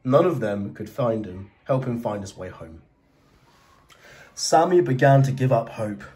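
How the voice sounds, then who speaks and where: A man narrating a children's storybook as a teacher, reading in an expressive, dramatised way. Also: mid-range voice, a little slow, recorded from a distance.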